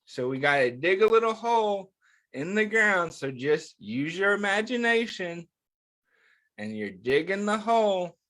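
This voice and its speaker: A man with a slightly high-pitched voice leads a children's story time at a very unhurried pace. He is encouraging and speaks directly to young listeners, asking questions and leaving room for their answers. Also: big pitch swings, bright, forceful tone. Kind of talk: interactive read-aloud for young children.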